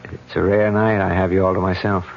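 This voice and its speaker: An actor playing a self-assured, joking police detective, in a radio drama. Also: male voice, low voice, little pitch movement, a little fast, gravelly, slight background noise. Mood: breezy. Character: cocky male police detective. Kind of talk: 1970s radio drama acting. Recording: dull old radio recording.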